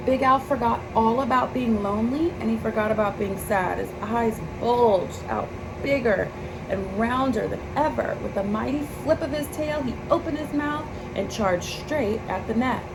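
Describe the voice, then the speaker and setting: A woman's voice: on the high side, normal speed. A woman reading a children's picture book aloud in a storybook narration style. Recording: clear steady background noise.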